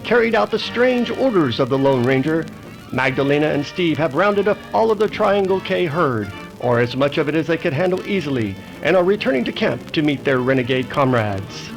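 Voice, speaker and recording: A male narrator in the dramatic style of 1930s radio adventure. His voice is a little high and the scripted delivery rides over clearly audible music. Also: very animated, moderate pace, rough recording.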